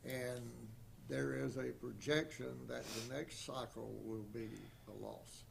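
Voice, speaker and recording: A man chairing a town board meeting, speaking in a grave, deliberate way. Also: mid-range voice, fairly even intonation, vocal fry, background noise. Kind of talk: meeting discussion.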